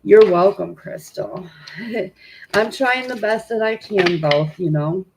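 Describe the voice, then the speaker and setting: A woman's voice: medium pitch, takes it slowly, a little mellow. Congested woman, home tarot streamer, casual and rambling, noisy audio. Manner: informal and chatty, many "um" fillers, greets viewers. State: congested, stuffy nose. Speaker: tarot reader hosting a live stream. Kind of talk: casual live-stream chat and card reading.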